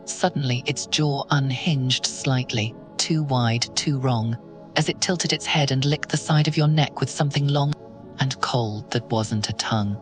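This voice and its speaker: A narrator telling a horror story in second person, slow and ominous. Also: medium pitch, soft background music.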